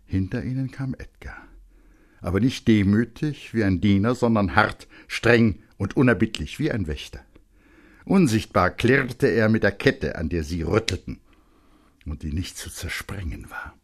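A man narrating a literary story dramatically and intensely, with pointed pauses and phrases that sink into a hush. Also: fairly low pitch, animated.

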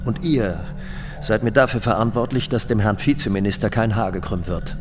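An actor playing a calm, defiant drug lord in a German radio docudrama, speaking in a measured, formal way. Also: male voice, lowish voice, music in the background, natural voice. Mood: calmly commanding. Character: defiant drug lord, calm, self-important outlaw leader.

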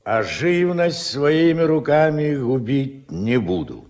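A folksy, unbowed fishing foreman from the village in a radio drama. He talks bluntly and takes his time over the words. His pitch moves up and down in a lively, rustic way. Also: takes it slowly. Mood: defiant, reproachful. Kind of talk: Soviet radio play acting.

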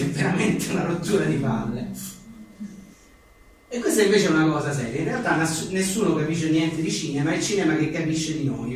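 A man reads comic one-liners aloud at a live book presentation, in a wry, joking way with offhand asides. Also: fairly high pitch. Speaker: journalist and author presenting his book. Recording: echoey room, distant mic, faint noise.

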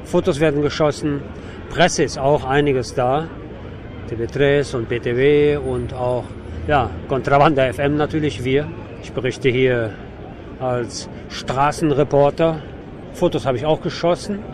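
A man working as a radio street reporter, speaking off the cuff in halting, searching phrases, live from a demonstration outdoors. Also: medium pitch. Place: outdoors on a city avenue at a demonstration. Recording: noisy outdoor street with crowd. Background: crowd and street noise.

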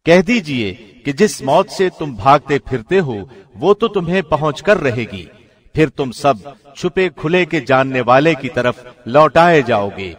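A man reading a scripture translation like a radio presenter, formal, solemn and measured. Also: on the high side, lively intonation.

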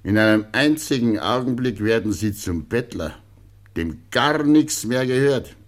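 Man acting a low-voiced, incredulous village notary. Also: natural voice. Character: male village notary, incredulous, well-meaning, cautioning. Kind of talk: German radio play acting.

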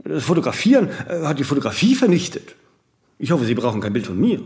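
An actor playing a vain, ageing celebrity in a radio play, imperious, aloof and rambling in aphorisms with little tag questions. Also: male voice, on the high side, very animated, fast, darkish tone. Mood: musing, pontificating. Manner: haughty, rambling, aphoristic, with clipped tag questions and self-corrections. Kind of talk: radio play monologue in dialogue.

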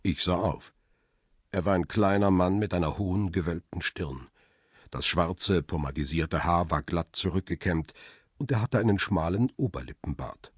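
A man narrating a crime radio play in the first person, calm and reflective, and speaking lines as the paparazzo protagonist. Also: low voice, normal speed, slightly rough, no room sound, clean studio sound.

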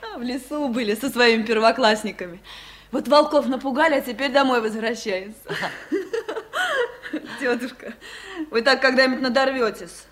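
An actress playing a kind young village schoolteacher in a radio play, eager and affectionate in manner. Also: female voice, on the high side, expressive, normal speed, a bit bright. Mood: amused, caring.

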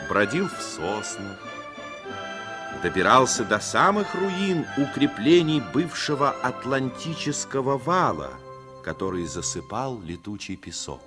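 A man narrating a 1950s radio drama as a storyteller, phrasing expressively and deliberately with dramatic pauses. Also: medium pitch, lively intonation, lightly acted. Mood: lyrical. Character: male storyteller fond of the coast and its people. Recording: 1950s radio recording, faint music behind.

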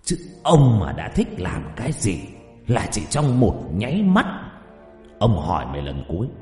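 A man's voice: lowish voice, wildly swooping pitch, normal speed, dark tone. A man acting a menacing, commanding rich landlord. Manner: commanding, interrogating, condescending. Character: wealthy, menacing landlord patriarch, stern and quick-tempered. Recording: echoey with long reverb, music behind.

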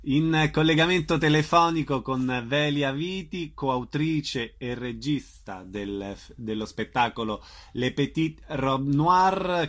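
A man's voice: mid-range voice, fairly bright tone. Man hosting a radio interview. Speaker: radio host. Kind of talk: radio interview, asking questions and announcing theatre dates.

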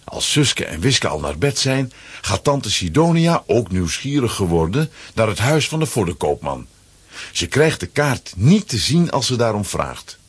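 A male narrator tells a children's adventure story in a lowish, very husky voice. His intonation is animated, and the recording is close and dry. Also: measured pace, no echo. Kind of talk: scripted storytelling for a children's audio play. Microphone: close, dry studio microphone.

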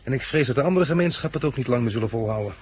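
A man's voice: mid-range voice, lively intonation, rapid-fire, a little mellow, natural voice. An actor playing a resolute, reassuring male survivor and narrator in a 1960s Dutch radio drama. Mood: earnest, hopeful. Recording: noisy 1969 radio play, vehicle sounds.

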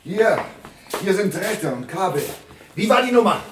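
An actor playing an excitable, nervous sidekick with a jittery, exclamatory delivery in a German radio drama. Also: male voice, high voice, unhurried, reverberant, heavy background noise. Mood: eager. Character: Bucky, excitable, nervous gadget-spotting sidekick, man.

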